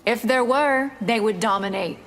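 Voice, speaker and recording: A woman's voice: on the high side, normal speed, natural voice. An actress voicing a haughty, domineering female vampire warrior in a fighting game, imperious and taunting. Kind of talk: fighting game character dialogue. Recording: echoing hall, loud game noise behind.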